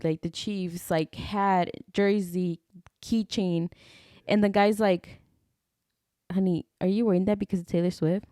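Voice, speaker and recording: A woman's voice: mid-range voice, warm tone, no echo, clean studio sound. A young woman co-hosting a podcast, chatting casually and mixing Spanish into her English, with lots of "like" fillers. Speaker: podcast co-host. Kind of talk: casual chat.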